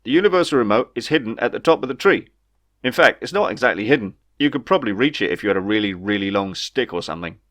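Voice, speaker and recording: A man's voice: lowish voice, lively intonation, quick pace, fairly bright tone. A man voicing a matter-of-fact male companion in a comic audiobook, patiently explaining things.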